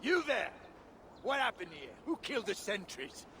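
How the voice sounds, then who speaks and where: High, barking guard captain. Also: male voice, very animated, moderate pace, fairly bright tone, slight background noise, heavily acted. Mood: irate. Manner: barking, commanding. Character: pompous, angry Saxon guard captain. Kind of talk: dramatic video game acting.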